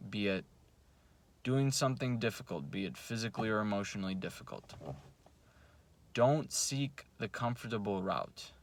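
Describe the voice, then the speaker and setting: A young man whose first language is Russian, talking in a blunt, profane, self-coaching vlog monologue at home at night. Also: lowish voice. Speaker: vlogger. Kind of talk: casual motivational monologue. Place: home, at night before bed.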